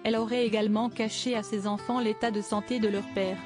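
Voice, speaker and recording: Flat-toned female news narrator over music. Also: mid-range voice, fast talker. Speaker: narrator of a celebrity news video. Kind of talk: scripted narration. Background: background music.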